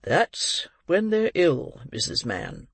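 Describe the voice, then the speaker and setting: A pompous, self-important parish beadle, as a male audiobook reader plays him, laying down stern pronouncements slowly and with weight. His voice rises a little above the reader's own. Close, dry sound. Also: on the high side, huge pitch swings, gravelly, no room sound, pitched above the performer's own voice, exaggerated voice. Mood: callous. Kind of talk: audiobook character reading.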